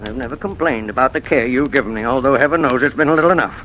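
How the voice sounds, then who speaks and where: An actor playing a petty, bullying old invalid uncle in a 1940s radio drama, querulous, sarcastic and self-pitying. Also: male voice, mid-range voice, fast, pushed and bright, lo-fi sound, close to an everyday voice. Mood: indignant. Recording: noisy vintage radio recording.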